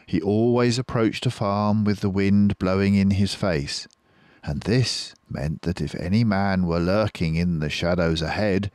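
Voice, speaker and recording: A man's voice: low-pitched, a little mellow, no echo, studio quality. An older man reading a children's story aloud as a storyteller. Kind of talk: children's audiobook narration.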